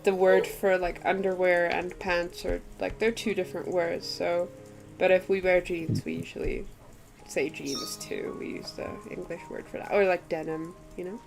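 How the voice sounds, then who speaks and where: Woman, first language afrikaans, chatty and giggly, gravelly fry in her voice, quiet music behind. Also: on the low side, little pitch movement, normal speed. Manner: chatty, giggly, lots of fillers like "like". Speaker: artist streaming a drawing session. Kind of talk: casual chat while drawing.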